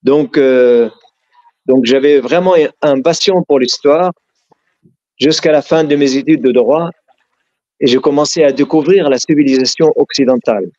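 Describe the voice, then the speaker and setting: A middle-aged man from Syria, speaking French with an Arabic accent in an earnest, storytelling way. His voice is mid-pitched, and he often hesitates and restarts mid-sentence. The recording is slightly dull and lo-fi. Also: normal speed, a little mellow. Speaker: interviewee. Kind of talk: casual storytelling interview.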